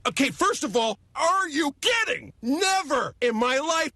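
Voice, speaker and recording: An exasperated sports pundit played by an actor rants defensively in a comedic radio ad. The voice is very high, bright and sharp, recorded dry and close. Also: expressive, unhurried, no echo, heavily acted. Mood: indignant. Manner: exasperated, sputtering, argumentative. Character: indignant, defensive ranting sports-show pundit.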